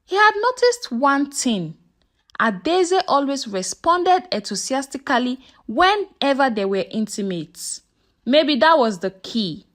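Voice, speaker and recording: A woman's voice: sits high, very animated, measured pace, fairly bright tone. A woman narrating a scripted folktale in a dramatic, suspenseful manner. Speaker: storyteller.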